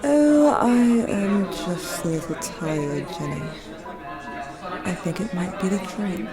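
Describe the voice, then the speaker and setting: A woman's voice: fairly low pitch, sing-song, takes it slowly, over loud music, a loud crowd. A woman playing an envious, irritable Victorian woman writer in an audio drama, theatrical and sardonic. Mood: guarded, cool.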